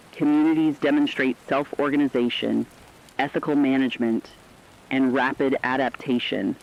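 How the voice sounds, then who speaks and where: A man reading scripted radio news as an anchor in a formal, measured way. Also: medium pitch. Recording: dull lo-fi radio sound.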